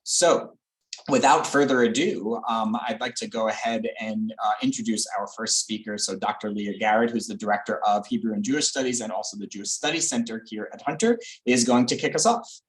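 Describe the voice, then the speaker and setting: A man hosting a college admissions session on a video call, introducing the speakers with smooth, welcoming remarks. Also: lowish voice, brisk, poor audio. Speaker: admissions host.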